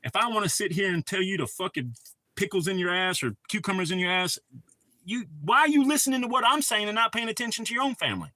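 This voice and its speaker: A man's voice: high-pitched, lively intonation, fast, bright, forceful tone, dry recording. A middle-aged man hosting a livestream, telling a heated, rambling story full of cursing. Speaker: livestream host. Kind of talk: casual ranting chat.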